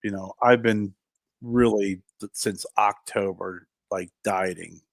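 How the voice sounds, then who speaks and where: Reflective low-voiced man in his fifties. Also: steady tone, slow, creaky, no echo. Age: 50s. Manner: rambling, restarts and trails off, reflective. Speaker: podcast co-host. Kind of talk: casual chat.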